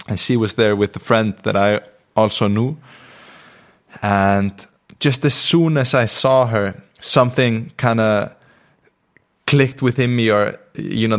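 A young man with an Icelandic accent tells a personal story in a reflective, spiritual way, with many fillers and restarts. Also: lowish voice.

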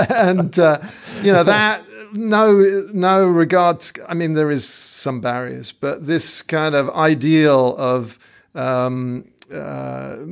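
A man raised in England, hosting a podcast interview in a casual, halting way full of fillers and restarts. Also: a little high, vocal fry. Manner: hesitant, many fillers and restarts. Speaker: podcast host.

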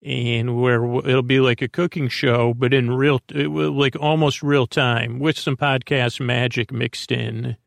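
A man with a creaky voice hosting a sleep podcast, meandering through a soothing, hesitant bedtime monologue full of tangents. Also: mid-range voice, little pitch movement, unhurried, hardly any pauses, no echo, clean studio sound. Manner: soothing, lulling delivery. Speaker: podcast host.

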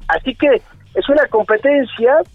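A man's voice: extremely high pitch, moderate pace, no echo, phone-quality sound, soft background music. A Mexican man in his forties, chatting casually about sports on a radio show, rambling a bit with restarts. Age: 40s. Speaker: sports commentator.

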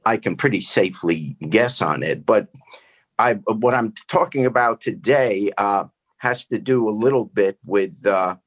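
A man hosting a podcast, delivering a measured, deliberate opinion piece. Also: on the low side. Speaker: podcast host. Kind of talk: casual chat then commentary.

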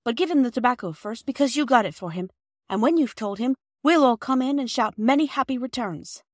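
Give a woman playing an anxious young girl in a storybook. Her voice runs high and urgent. The recording is dry and close. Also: expressive, a little fast, no echo.

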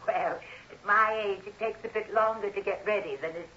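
Lady doing polite elderly auntie, slow bright voice, dull old radio sound, off mic. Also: medium pitch, a little hiss or hum, natural voice. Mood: gracious, apologetic. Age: older. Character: courteous elderly aunt, slow and frail-mannered. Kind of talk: mid-century American radio drama acting.

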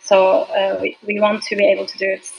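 A woman whose first language is Turkish, a UX designer as a podcast guest, talking hesitantly with many fillers and soft laughs. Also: medium pitch, completely flat delivery, fairly quick, vocal fry, rough recording. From Turkey. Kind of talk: casual interview.

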